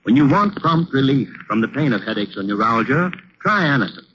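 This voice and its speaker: An American male radio announcer with a medium-pitched voice, reading earnestly and deliberately in vintage commercial style. The recording is muffled, with faint noise. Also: very animated, fairly bright tone. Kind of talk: 1930s-40s radio advertisement.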